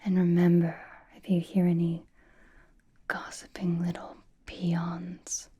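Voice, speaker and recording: A woman playing a centuries-old aristocratic vampire lady in a whispered ASMR roleplay, doting, possessive and softly teasing, with refined manners and a breathy voice. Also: on the low side, completely flat delivery, slow pace, heavily acted. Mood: possessive, fond.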